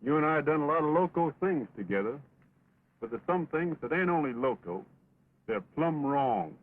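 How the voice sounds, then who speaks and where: An actor playing an earnest, steadfast American cowboy in an early talkie western, speaking in a plainspoken drawl. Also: male voice, on the high side, animated, moderate pace, husky. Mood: grave, earnest. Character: earnest, steadfast cowboy pleading with his wayward friend. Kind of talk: early 1929 talkie western film acting. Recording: muffled, scratchy 1920s film soundtrack.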